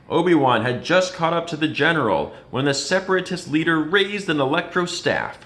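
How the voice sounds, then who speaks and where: Male read-aloud narrator, medium pitch, lively rising and falling delivery for kids. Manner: expressive, dramatic storytelling. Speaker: reader. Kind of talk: children's storybook read-aloud.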